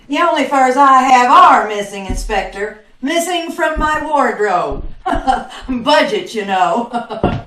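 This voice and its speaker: A woman playing a plucky, flustered girlfriend of a suspect in an old-time radio drama, exclamatory and quick to quip, in a roomy space. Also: high voice, expressive, a bit bright, slight background noise, heavily acted. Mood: wry, flustered. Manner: exclamatory, quipping. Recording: roomy, echoing video call sound.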